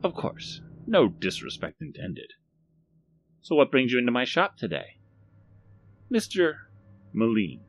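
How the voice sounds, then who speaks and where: A man voicing a small, sinister formal gentleman in an audiobook, measured and menacing. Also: medium pitch, wildly swooping pitch, a little hiss or hum, voice pushed up. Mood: polite. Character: Mister Contragust, little sinister formal gentleman, cold and exacting. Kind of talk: audiobook character acting.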